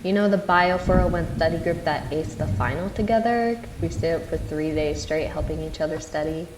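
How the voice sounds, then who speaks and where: A woman's voice: sits low, brisk, natural voice. A young woman acting as a sensible, sociable college friend in an audio drama, casual and matter-of-fact. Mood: practical. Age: young adult. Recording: echoey room, clear sizzling noise.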